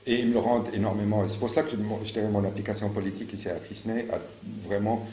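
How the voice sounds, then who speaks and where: Deep gravelly guy from morocco, campaign interview, lots of uh and restarts, echoey room. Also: fairly even intonation, fast talker, warm tone, a little hiss or hum. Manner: hesitant, many fillers and restarts. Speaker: local election candidate. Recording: echoey room with faint ambience.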